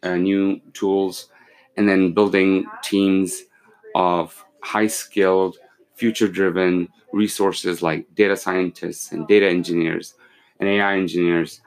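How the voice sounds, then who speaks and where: A man in his forties, born in Pakistan and raised in London and Kuwait, calmly explaining his company as a podcast guest, with many fillers and restarts. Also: low-pitched, measured pace, low-quality recording. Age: 40s. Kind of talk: casual interview, explaining business.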